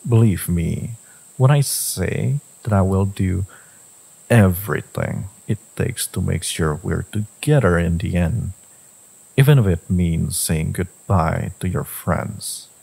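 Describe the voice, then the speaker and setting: A man voicing a brooding, devoted fantasy villain in a romance audio roleplay, speaking deliberately in a low, gravelly, husky voice. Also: warm tone, heavily acted, poor audio. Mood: protective, intense. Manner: slow, deliberate, with pauses and sighs. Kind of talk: dramatic second-person romance audio roleplay.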